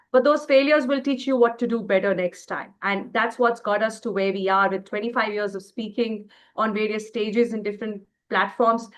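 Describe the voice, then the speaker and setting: A woman in her forties from India, hosting an online speaking session. She speaks to the audience directly and reflects on her own stage experience. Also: on the high side. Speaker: host.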